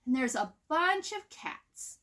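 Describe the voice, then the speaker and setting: A woman's voice: sits high, very animated, slow, a bit bright. A woman hosting a children's story time, explaining things informally and putting questions to her young listeners. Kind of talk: informal nature lesson for children, with read-aloud.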